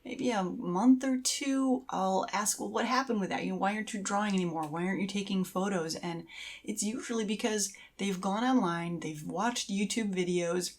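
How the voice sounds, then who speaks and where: A woman's voice: medium pitch, moderate pace. A middle-aged woman chatting as a podcast co-host, agreeably explaining and giving casual advice. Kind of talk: casual conversational advice on creativity.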